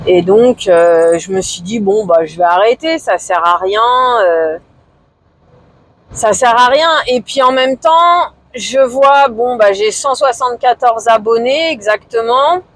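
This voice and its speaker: A woman in her forties talking casually to camera in a vlog, hesitant and low-key, with many fillers and pauses. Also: lively intonation, no room sound, poor audio. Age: 40s. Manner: low-key, somewhat discouraged. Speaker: vlogger.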